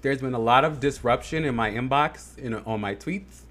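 A man co-hosting a podcast, rambling and laughing in a relaxed chat. His pitch sits right in the middle for a man, and his talk is loose and full of fillers. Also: normal speed. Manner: chatty, laughs a lot, many fillers. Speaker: podcast co-host. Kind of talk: casual chat.

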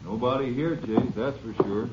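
An actor playing a steady, plain-spoken lawman, a matter-of-fact sidekick in a 1950s radio drama. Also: medium pitch, moderate pace, natural voice. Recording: muffled, noisy old 1950s radio recording.

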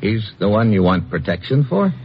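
A man's voice: lowish voice, very animated, moderate pace, a little hiss or hum. A man playing a tough, wry government agent in an old radio adventure, wisecracking and clipped. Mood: businesslike. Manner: wisecracking, clipped, hard-boiled. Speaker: lead actor and narrator of a radio drama. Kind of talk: 1950s radio adventure acting, hard-boiled narration. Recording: muffled old radio broadcast, music behind.

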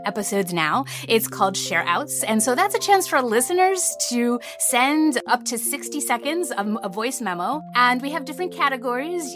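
High-pitched woman interviewed over music. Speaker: audio drama creator, interview guest. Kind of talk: conversational interview.

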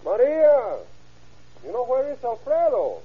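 An actor playing an evasive Mexican husband and father in halting, accented English, in old-time radio drama style. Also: extremely high pitch, sing-song, husky, exaggerated voice. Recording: muffled vintage radio recording.

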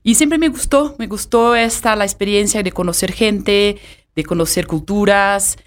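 A woman in her forties from Brazil, speaking Spanish with a Brazilian Portuguese accent as a podcast guest, talking in a halting way with many fillers and restarts. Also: mid-range voice. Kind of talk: conversational podcast interview.

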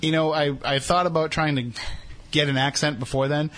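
A man's voice: fairly high pitch, fairly even intonation, a little fast, fairly bright tone, faint music behind. A man with a Boston accent hosting a radio talk show, bantering casually and poking fun at himself. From New England. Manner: joking, self-deprecating.

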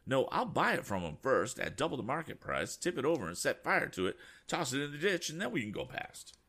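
A man reads an excitable eccentric old gent, pitched way up, gravelly, swinging wildly in tone. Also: moderate pace, heavily acted. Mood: excited. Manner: fussy exclamations. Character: eccentric excitable older gentleman.

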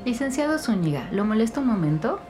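A woman's voice: a little high, big pitch swings, fast talker, music in the background. A woman playing a polite, deferential office secretary, courteous and formal, in an audio drama.

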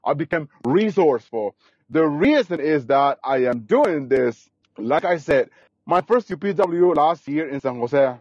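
Earnest halting man from Guatemala. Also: fairly high pitch, lively intonation, darkish tone, no room sound. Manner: earnest, repetitive, halting phrasing. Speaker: guest. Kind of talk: casual interview storytelling. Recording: dry, dull lo-fi sound.

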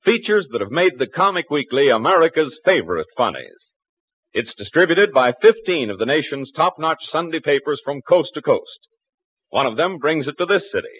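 A man's voice: a little high, breathy edge, lo-fi sound. A man narrating and announcing an old 1940s radio adventure serial in scripted, dramatic broadcast style.

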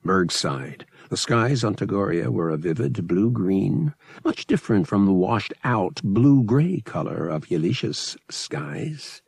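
A man narrating a science fiction audiobook as a scripted, expressive dramatic story. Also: on the low side, unhurried, gravelly, hardly any pauses, husky, dry recording, clean studio sound.

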